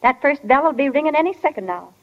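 A woman's voice: high-pitched, expressive, fast. An actress playing a strict, no-nonsense small-town mother in a 1940s radio drama. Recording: muffled 1940 radio broadcast.

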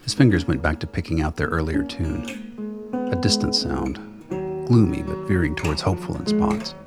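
Male narrator for a radio play, extremely deep voice, reading scripted prose over clearly audible guitar music. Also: huge pitch swings, normal speed.

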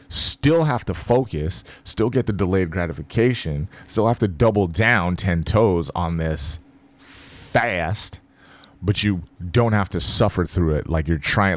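A man hosting a podcast, talking loosely and jokingly to the listener, explaining fasting in a casual monologue. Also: low voice, dry recording. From Philippines.

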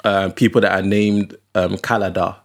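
A young man from Nigeria, a podcast guest, talking in a relaxed, unhurried way with frequent ums and uhs. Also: low voice. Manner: relaxed, hesitant with fillers, laughs easily. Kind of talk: casual conversation.

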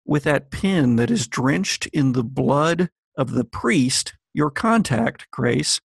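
Male game master, deliberate theatrical storyteller, gravelly fry, close dry sound. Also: mid-range voice, dry recording. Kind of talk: dramatic scripted narration and game narration.

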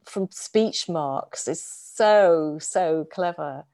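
A woman's voice: low voice, drawn-out words, creaky, mellow. A middle-aged woman from the UK, an author chatting thoughtfully with frequent restarts. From United Kingdom. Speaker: author and guest. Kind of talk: casual conversation.

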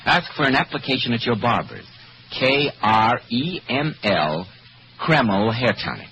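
A man's voice: medium pitch, very animated, measured pace, pushed and bright. A man with an American accent announcing and selling in polished, emphatic 1940s radio style. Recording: dull, noisy 1940s radio recording.

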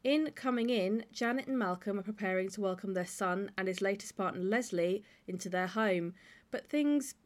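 A woman presents as a podcast host. Her voice sits at a middle pitch, and she delivers a prepared, scripted introduction. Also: moderate pace.

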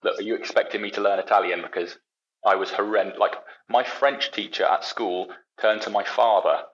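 Young UK man, chatty podcast guest, low voice, rambling and self-deprecating, muffled lo-fi recording. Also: steady tone, normal speed, a bit bright. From United Kingdom. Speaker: podcast guest, racing engineer. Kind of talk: casual chat.

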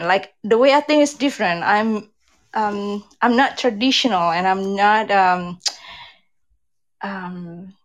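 Indonesian-accented woman in her fifties. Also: mid-range voice. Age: 50s. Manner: candid, chatty, non-native grammar. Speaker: guest, relationship advice creator. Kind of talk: casual interview chat.

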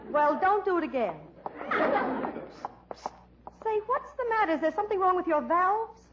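A woman's voice: extremely high pitch, expressive, fast, a loud crowd. A woman playing a pushy, feisty young would-be reporter with bossy screwball retorts in a radio comedy. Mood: determined. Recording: muffled, noisy live stage recording.

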